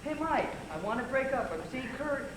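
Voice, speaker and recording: A man's voice: extremely high pitch, lively intonation, brisk. An impatient, jealous young lover played by a young man needles sarcastically in an amateur stage play. The sound is echoey and noisy, caught from the audience. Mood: jealous, sarcastic. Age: young adult. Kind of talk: amateur stage drama acting. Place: theater stage, indoors. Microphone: distant audience camcorder. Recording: echoey, noisy distant camcorder audio.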